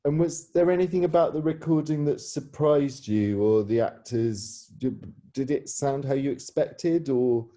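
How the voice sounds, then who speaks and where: A man's voice: mid-range voice, measured pace, hardly any pauses, mellow. A man interviewing informally on a video call in an encouraging, slightly rambling and hesitant way. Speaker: interviewer, theatre practitioner.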